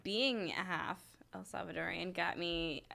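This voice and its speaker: A woman's voice: on the low side, a little slow, vocal fry, bright, forceful tone. A woman with an American accent chatting casually and informally as a guest on a talk show. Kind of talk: casual chat. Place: radio studio.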